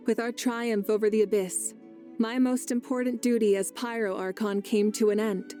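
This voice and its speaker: A woman voicing a composed, confident warrior goddess in a video game, speaking in a measured, earnest and self-assured way. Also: fairly high pitch, fairly even intonation, mellow, faint music behind. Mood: reflective, stirring. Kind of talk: scripted video game character voice lines.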